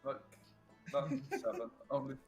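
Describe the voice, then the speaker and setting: A man's voice: mid-range voice, little pitch movement, measured pace, soft background music, rough recording. A man chatting casually as a player in a tabletop game. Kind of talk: casual chat.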